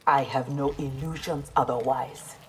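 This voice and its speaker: A proud ninja warrior in a fighting game. Her low voice is formal, earnest and resolute, with a faint layer of noise behind it. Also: steady tone, measured pace, poor audio. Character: proud, honorable female ninja warrior in armor. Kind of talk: video game character dialogue.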